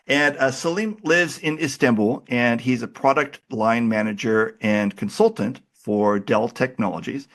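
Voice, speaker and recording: A man's voice: mid-range voice, measured pace, hardly any pauses. Chatty male podcast host with a cold. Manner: relaxed, chatty, self-deprecating. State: has a cold. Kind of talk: casual interview chat.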